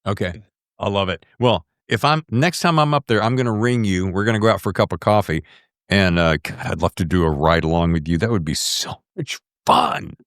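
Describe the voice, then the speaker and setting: A man's voice: low voice, fairly quick, fairly bright tone, clean tone, no room sound, studio quality. A man hosting a podcast, chatting casually in a chummy, effusive, emphatic way with plenty of slang. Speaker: podcast host. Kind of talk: casual interview chat, addressing guest and listeners.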